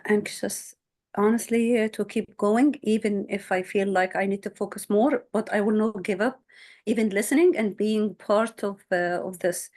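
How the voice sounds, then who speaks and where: A woman's voice: medium pitch, darkish tone. Hesitant woman, native Arabic speaker. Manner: hesitant, many fillers. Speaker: returning student, Arabic teacher. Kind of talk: class self-introduction. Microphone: video call.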